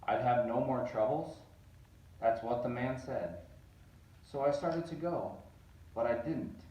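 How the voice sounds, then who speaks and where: A man reading a rhyming children's story aloud in an expressive, narrating way. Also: mid-range voice, takes it slowly. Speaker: storybook reader. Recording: roomy, distant, dull sound.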